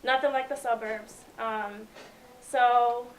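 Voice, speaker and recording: A young woman with a fairly high voice speaks earnestly and with determination, like an interviewee telling her own story. A faint hiss sits under the recording. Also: fairly even intonation, slow pace, rough recording. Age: young adult. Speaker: youth jobs program participant.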